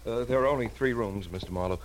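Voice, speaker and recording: A man's voice: medium pitch, fast, natural voice. A man playing an anxious, polite bridegroom, hesitant and stammering, in a 1940s radio drama. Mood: worried. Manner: fretful, hesitant, stammering, formal. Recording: noisy vintage radio recording.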